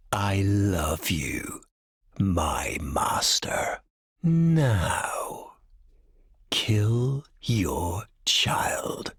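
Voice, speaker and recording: An actor playing a cold, obsessive, grandiose male villain in a video game, with theatrical, menacing phrasing and a breathy, gravelly voice. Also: fairly low pitch, a bit bright, no room sound, exaggerated voice. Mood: intimate, possessive. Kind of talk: dramatic video game voice acting.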